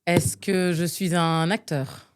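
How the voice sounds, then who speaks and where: A young woman from Nigeria with a slightly low voice, co-hosting a casual podcast. She thinks out loud, full of fillers and hesitations, and sounds fed up with the game she's playing. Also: fairly even intonation, slow, clean tone. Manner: exasperated, thinks aloud with many fillers. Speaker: podcast co-host. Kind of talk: casual chat, guessing game.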